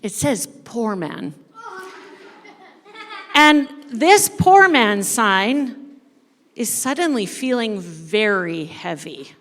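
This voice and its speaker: A woman with a fairly high voice narrates a story live in a theatrical, playful way. She takes her time, leaving dramatic pauses. Her voice rings with long reverb in a large hall. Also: sing-song, fairly bright tone, a little hiss or hum. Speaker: church storyteller leading an interactive dramatic reading. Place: large indoor church space before an audience.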